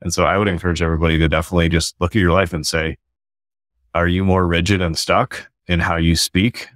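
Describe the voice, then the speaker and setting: A man's voice: sits low, flat delivery, quick pace, fairly bright tone, no room sound, studio quality. A man, a guest author on a podcast, explaining his ideas earnestly in long flowing sentences over a video call.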